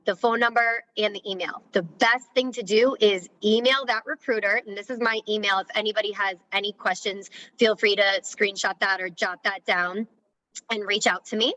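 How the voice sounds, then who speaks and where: A woman's voice: mid-range voice, fairly even intonation, normal speed, sharp tone, rough recording. A woman recruiter giving practical job search tips on a video call, direct and matter-of-fact.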